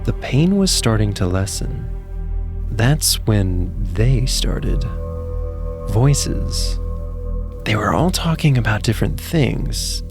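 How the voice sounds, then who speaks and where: Low-pitched male story narrator over music. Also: animated, takes it slowly.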